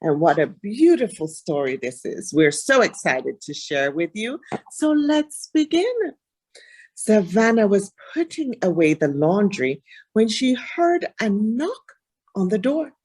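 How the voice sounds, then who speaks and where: A woman's voice: mid-range voice, big pitch swings, takes it slowly, a little mellow, breathy edge. A woman reading a children's storybook aloud expressively.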